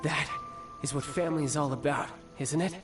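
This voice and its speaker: Male actor as a worn-out, playful young game warrior; slightly high voice, distant, music underneath. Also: fairly even intonation, brisk, natural voice. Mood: wry, weary. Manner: smooth, playful, teasing. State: weakened, injured. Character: injured cocky young male warrior, family-loving. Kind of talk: video game cutscene voice acting.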